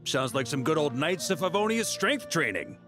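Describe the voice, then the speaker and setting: A man's voice: on the high side, very animated, normal speed, music in the background. Jolly game knight captain, folksy and boisterous, loves his booze, voice swings all over. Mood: amused, reminiscing. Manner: jovial, folksy, clipped storytelling. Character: hearty, boisterous adult male knight commander, fond of drink. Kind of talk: video game voice acting.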